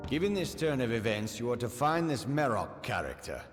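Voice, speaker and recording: An actor playing a proud, commanding army officer in a fantasy video game, with an authoritative, blustering manner. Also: male voice, medium pitch, big pitch swings, fairly quick, lightly acted. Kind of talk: video game character acting. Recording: long reverb, music behind.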